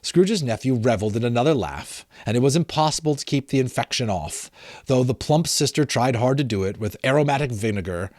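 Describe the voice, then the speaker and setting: A man's voice: medium pitch, animated, a little fast, darkish tone, no room sound, clean studio sound. A middle-aged man giving a dramatic, emphatic storybook narration, with casual explanatory asides. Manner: theatrical, emphatic, breaks off to explain. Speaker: amateur audiobook reader.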